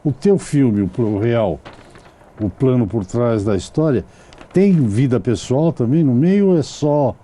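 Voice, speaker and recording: A male television host with a very dark, mellow voice whose pitch swings widely as he talks. He asks long, meandering questions full of asides. It is a casual studio interview. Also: lively intonation, measured pace. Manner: rambling, digressive questions.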